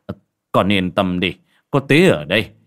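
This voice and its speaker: Man acting stammering old father, swooping pitch. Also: expressive, normal speed. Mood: soothing. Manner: stammering, repeating words when flustered. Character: anxious, protective old rural father, stammering and kindly. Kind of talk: audiobook character acting.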